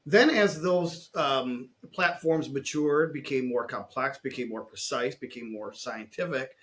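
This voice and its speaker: A man hosting a podcast interview in a measured, articulate, conversational way, building points in lists. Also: mid-range voice, a bit bright.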